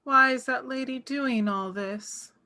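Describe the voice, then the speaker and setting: A woman's voice: high voice, measured pace, bright, forceful tone, exaggerated voice. A woman playing a solemn, inquisitive young gothic daughter in a table read, questioning earnestly. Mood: puzzled.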